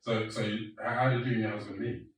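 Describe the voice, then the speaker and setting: Brief: low-voiced male interviewer, gentle and halting, very echoey room, mic far off. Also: little pitch movement. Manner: soft, hesitant, repeats words when starting questions. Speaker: interview host. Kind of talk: casual conversational interview.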